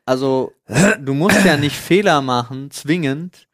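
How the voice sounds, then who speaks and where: A man's voice: mid-range voice, measured pace, fairly bright tone, no room sound, studio quality. A man chatting casually as a podcast co-host, debating in informal, slangy language. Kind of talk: casual chat.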